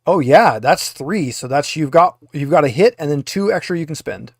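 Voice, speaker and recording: Casual male game master, slightly high voice. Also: lively intonation, moderate pace, darkish tone, slightly rough, no room sound, clean studio sound. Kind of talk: running a tabletop roleplaying game, casual.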